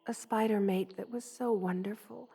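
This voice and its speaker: A woman voicing a gentle, loving, motherly spider queen in a soft, hushed ASMR roleplay, tender and wistful, often slipping toward a whisper. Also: medium pitch, fairly even intonation, normal speed, creaky, slightly rough, faint music behind.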